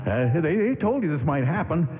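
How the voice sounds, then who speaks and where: An anxious but gentle soldier in old-fashioned radio drama, earnest and very animated in pitch. The sound is thin, boxed-in AM radio, with music in the mix. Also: male voice, moderate pace. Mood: panicked, strained. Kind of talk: 1940s-style radio drama acting. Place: stage of a live radio theatre. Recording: tinny AM radio broadcast with music.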